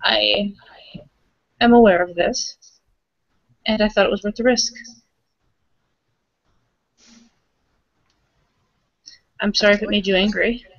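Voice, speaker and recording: A woman acts out an earnest, reflective young woman in a tabletop game. She is calm and conciliatory, with a medium-pitched voice, on a dry, slightly muffled recording. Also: normal speed, creaky, dry recording. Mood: apologetic, calm. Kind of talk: tabletop roleplay in character.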